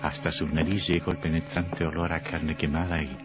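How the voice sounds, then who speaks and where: A man's voice: very deep voice, little pitch movement, normal speed. A man narrating a horror radio play in a theatrical, ominous, suspenseful manner. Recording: dull lo-fi old radio recording. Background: dramatic music.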